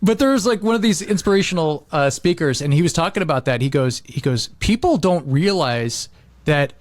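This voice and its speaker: A man chatting casually as a podcast co-host, telling stories in a rambling, halting way with many repeats. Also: fairly high pitch, expressive, dry recording. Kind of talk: casual chat, rambling anecdotes.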